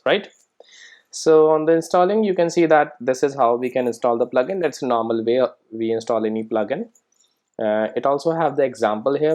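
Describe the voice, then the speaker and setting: A man's voice: mid-range voice, a little mellow. A man presenting an informal screencast coding tutorial, explaining conversationally and often checking in with short tag questions. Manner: conversational, explanatory, often tagging sentences with "right?" and "okay?".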